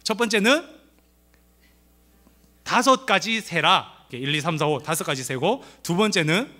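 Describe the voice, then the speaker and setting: A middle-aged man from the Gyeongsang region with a light Gyeongsang accent, preaching at a church family seminar in a lively, playful way, acting out quoted lines. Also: sits high, moderate pace. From Gyeongsang region of Korea. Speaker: pastor.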